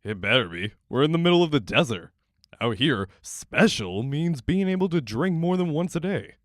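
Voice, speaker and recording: A man's voice: a little high, big pitch swings, normal speed, dry recording, clean studio sound. A man doing a cocky sarcastic teen boy. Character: cocky blind teenage boy Fox.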